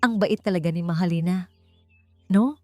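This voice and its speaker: A woman's voice: on the low side, normal speed, dry recording, studio quality, soft background music, lightly acted. A woman with a Filipino accent voicing a shy, trusting young pregnant wife in an audiobook drama. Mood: shy, grateful. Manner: gentle, bashful.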